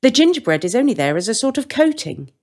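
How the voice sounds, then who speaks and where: A woman voicing a cheerful storybook baker woman who explains her gingerbread house brightly and casually. Her voice sits high and bounces with lively pitch. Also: quick pace. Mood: breezy.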